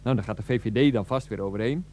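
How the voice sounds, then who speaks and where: A man acting an exasperated, defensive grown son on the phone to his mother in a naturalistic radio comedy drama, halting and repeating himself to placate her. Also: lowish voice, a little mellow, close to an everyday voice. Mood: wearily agreeing.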